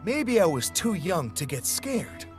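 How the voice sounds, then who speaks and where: A man telling a childhood ghost story as scripted narration, in an even, flat storytelling manner. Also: sits high, a little slow, soft background music. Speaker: narrator of a played story video.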